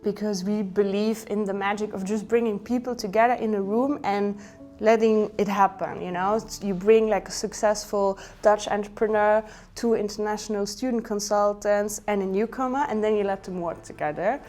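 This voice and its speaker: A woman's voice: medium pitch, fairly even intonation, moderate pace, a little mellow, faint music behind. A woman from the organization talking in an enthusiastic, conversational way in an interview. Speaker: organization staff member.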